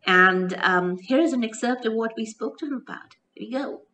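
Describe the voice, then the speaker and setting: A woman's voice: a little high, moderate pace, pushed and bright. A woman hosting a radio show and podcast, asking her guest questions in an upbeat, enthusiastic conversational way. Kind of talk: conversational interview. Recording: distant from the mic.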